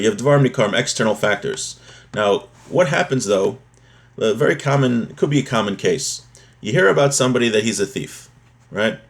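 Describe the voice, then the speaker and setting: A man giving an explanatory religious lesson, reading from a text and explaining it, repeating and rephrasing key points in a didactic way. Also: lowish voice. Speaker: religious teacher.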